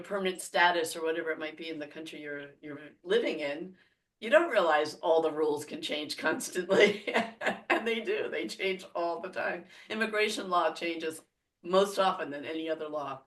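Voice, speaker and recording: A woman's voice: deep voice, moderate pace, far from the mic. A woman from France, a podcast guest, telling personal stories in casual chat and laughing often. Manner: laughs often, animated storytelling. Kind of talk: casual conversational storytelling.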